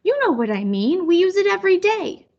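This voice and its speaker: A woman voicing a forgetful elderly grandmother from a storybook, affectionate but easily annoyed, halting as she searches for words. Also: very high-pitched, big pitch swings, darkish tone, muffled recording, pitched above the performer's own voice, full character voice.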